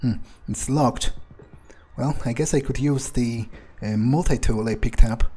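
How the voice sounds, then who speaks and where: A man's voice: medium pitch, a little slow, warm tone, slight background noise. A man improvising in-character commentary over a game, thinking aloud hesitantly, repeating words and swearing casually. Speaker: gamer doing in-character playthrough.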